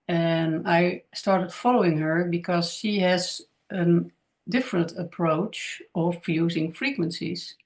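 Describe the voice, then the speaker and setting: A woman with a Dutch accent, a native Dutch speaker, talking to camera in a casual spiritual monologue, hesitant and searching for words. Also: lowish voice. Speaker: content creator.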